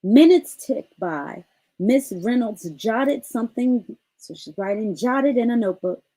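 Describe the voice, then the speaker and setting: A woman reads a bedtime story aloud for a child with a highly animated, expressive pitch. Her voice sits a little high and has a mellow tone, and she explains things and asks questions as she goes. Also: low-quality recording. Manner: pauses to explain and ask questions.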